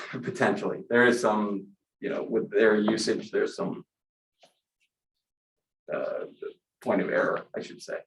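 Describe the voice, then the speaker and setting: A man's voice: lowish voice, fairly even intonation, slow, vocal fry, pauses often. A man presenting a solar panel plan at a public meeting, hesitant and full of fillers. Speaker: solar project applicant presenting a design. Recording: echoey room with background noise.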